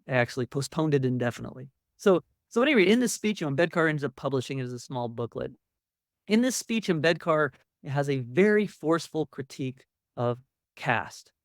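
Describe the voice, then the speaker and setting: A man's voice: on the high side, expressive, fairly quick, no echo, clean studio sound. A man lecturing to the public as an academic, explaining in a conversational way and leaning on key words for emphasis.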